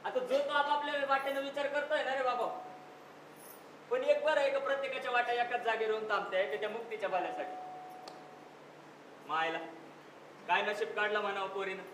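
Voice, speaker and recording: A man's voice: very high voice, steady tone, brisk, pauses often. A male actor plays a plain-spoken, consoling rustic brother in a stage drama. He, heard distantly with echo and noise. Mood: consoling, reflective. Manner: folksy, consoling. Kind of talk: stage play dialogue in Marathi. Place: indoor stage at a youth festival. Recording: echoey stage from far off, noisy.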